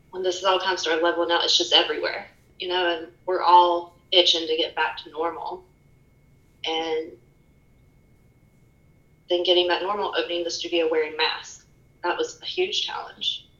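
A woman with a bright, lowish voice talks softly and candidly as a podcast guest. She sounds reflective and open. The room rings with echo, and the sound is a little dull. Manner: soft-spoken, candid and reflective. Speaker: podcast guest, fitness studio owner. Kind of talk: casual interview chat.

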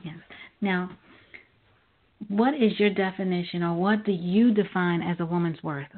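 A woman's voice: lowish voice, unhurried. An American woman hosting an interview in a conversational style, affirming and acknowledging her guest. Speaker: radio interview host. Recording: narrow phone-like radio sound.

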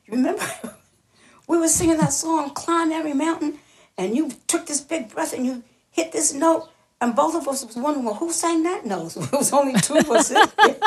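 Husky woman reminiscing with frequent laughs. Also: high-pitched. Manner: laughs often, repeats words for emphasis. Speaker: interview guest, singer and stage actress. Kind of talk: relaxed reminiscing interview talk.

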